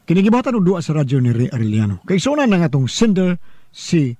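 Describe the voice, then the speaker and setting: A man's voice: on the high side, sing-song, fast, dark tone, no echo. A man with a Cebuano accent hosting a radio programme, speaking informally to listeners as he gives greetings and introductions. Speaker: radio drama host and letter reader.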